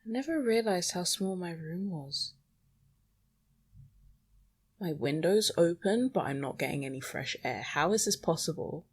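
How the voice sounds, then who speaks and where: Low-voiced young woman, self-deprecating rambler. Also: expressive, unhurried, long pauses, husky. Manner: self-deprecating, anxious, sighing. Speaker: podcast host. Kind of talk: rambling confessional solo monologue. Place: small bedroom at home. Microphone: small microphone.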